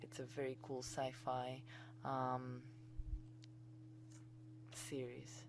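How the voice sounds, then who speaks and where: A woman with a Greek accent and a notably low voice walks students through software in a casual screencast. She sounds keen and informal, teaching through a computer mic. A faint hum sits under her voice. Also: monotone, extremely slow. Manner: enthusiastic, chatty and informal. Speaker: college instructor.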